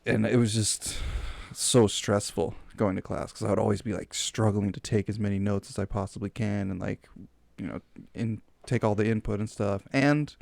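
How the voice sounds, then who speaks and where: A young man chatting casually and relaxed with a friend on a podcast, laughing along often. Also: lowish voice, normal speed, vocal fry, hardly any pauses, no room sound. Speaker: podcast co-host. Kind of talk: casual chat.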